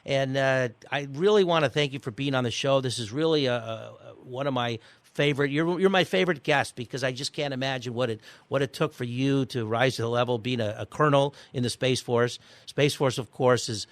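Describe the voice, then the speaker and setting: A man hosting a weekend radio talk show, chatting with guests in a halting way with many restarts, then reading the sign-off. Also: mid-range voice, dry recording. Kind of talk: casual radio interview and sign-off.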